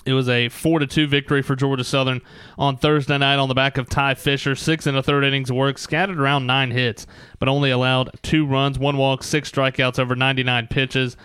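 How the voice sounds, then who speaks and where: A man hosts sports talk with a smooth, clear voice at a middling pitch. He recaps in fluent, clipped announcer phrases. The sound is dry and free of room echo. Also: flat delivery, a little fast, fairly bright tone. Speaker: sports show host.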